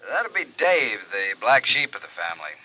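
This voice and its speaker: A man playing a brisk, helpful colleague on a telephone in a 1950s radio drama, chatty and informal. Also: medium pitch, very animated, pushed and bright, highs cut off, exaggerated voice. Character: brisk, helpful male colleague reporting by phone. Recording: thin noisy vintage radio phone sound.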